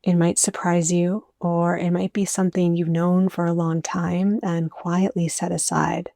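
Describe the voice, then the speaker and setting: A woman with a dark, relaxed voice that stays very even in pitch. She narrates a guided meditation in gentle, soothing invitations, recorded close and dry. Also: gravelly, dry recording. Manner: soothing, unhurried phrasing with soft invitations.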